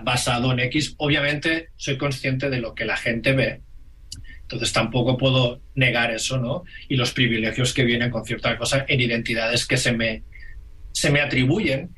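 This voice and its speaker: A man's voice: medium pitch, pushed and bright. A man from Catalonia whose first language is Catalan, a guest speaking thoughtfully on a radio panel. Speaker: teacher trainer, guest.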